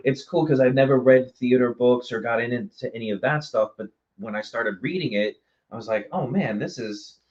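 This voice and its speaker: A man's voice: mid-range voice, moderate pace, a little mellow. A man speaking as an interview guest in a casual, reflective and appreciative way. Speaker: guest, theater marketing director. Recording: slightly dull, lo-fi sound.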